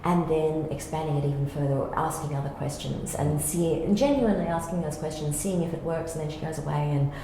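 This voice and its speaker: A woman's voice: deep voice, a little fast, few pauses. A woman explaining a creative process as a theatre director, thoughtful and informal. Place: reverberant indoor room. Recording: very echoey room, faint background noise.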